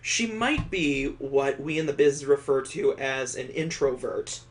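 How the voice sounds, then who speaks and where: A man's voice: medium pitch, animated, unhurried. A man hosting a let's play, reading a visual novel aloud and adding sarcastic joking asides.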